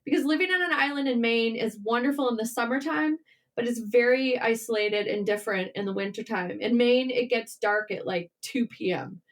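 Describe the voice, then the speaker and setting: A woman's voice: fairly high pitch, moderate pace. A middle-aged American woman chatting informally as an interview guest, telling personal stories with emphatic repetition. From Michigan, United States. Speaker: podcast guest, writer. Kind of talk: conversational interview storytelling.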